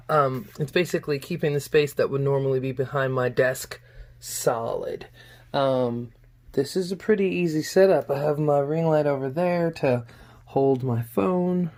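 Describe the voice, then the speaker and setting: A woman's voice: deep voice, darkish tone. A woman who works as a stage actor, speaking casually and explaining things in a conversational way. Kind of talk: casual spoken interview.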